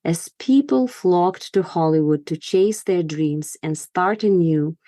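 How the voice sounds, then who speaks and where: A woman podcast host with a lowish, mellow voice delivers scripted narration in a storytelling format. The sound is close and dry, with very little room in it. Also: takes it slowly, no room sound.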